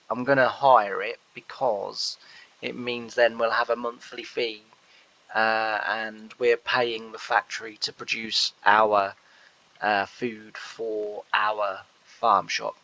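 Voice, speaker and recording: A man's voice: fairly low pitch, level tone, slow, creaky, sharp tone, muffled recording. A man, nasal from a cold, rambling through casual gameplay commentary with many fillers. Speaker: gameplay host.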